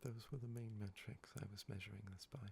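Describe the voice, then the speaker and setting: A man speaking softly and often in a whisper for an ASMR video, his voice a bit hoarse, rambling with many pauses and fillers. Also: on the low side, a little hiss or hum. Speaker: ASMR creator. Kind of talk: soft-spoken ASMR talk, whispering.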